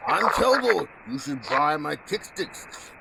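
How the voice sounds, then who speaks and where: A man voicing Keldor, an eccentric tentacled creature making an exaggerated sales pitch in a parody radio commercial. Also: sing-song, slow pace, creaky, very noisy, exaggerated voice. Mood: zany, boastful.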